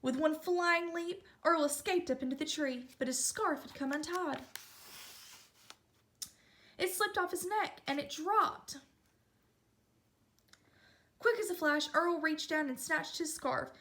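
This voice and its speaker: A woman giving an expressive read-aloud narration of a children's storybook. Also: high voice, slow pace, long pauses. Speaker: storybook reader.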